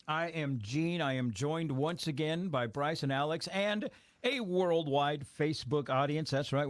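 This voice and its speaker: A man's voice: fairly high pitch, no room sound. A man hosting a radio morning show, chatting casually and self-deprecatingly while tasting food in the studio. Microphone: studio microphone.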